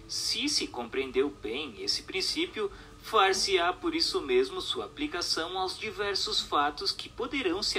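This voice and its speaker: Animated, bright-voiced male narrator. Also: on the high side. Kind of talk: scripted audiobook-style narration of a text.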